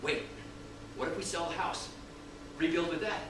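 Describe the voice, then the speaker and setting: A man's voice: on the high side, fairly even intonation, normal speed, heavy background noise, lightly acted. A man playing a harried, exasperated restaurant owner and husband in a staged reading, blunt and everyday, in an echoey room at a distance. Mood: bitter, deflated. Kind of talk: staged screenplay reading, naturalistic dialogue.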